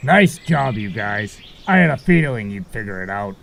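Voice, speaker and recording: Man playing a gravelly, easygoing guide. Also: fairly low pitch, very animated, moderate pace, a bit bright, a little hiss or hum, exaggerated voice. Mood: friendly. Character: friendly, laid-back male guide helping travellers. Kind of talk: amateur audio drama acting.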